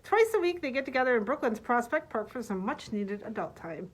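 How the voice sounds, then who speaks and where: A woman's voice: fairly high pitch, big pitch swings, fairly quick, breathy edge. A woman librarian recommending books, partly reading their summaries aloud. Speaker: librarian presenting book picks.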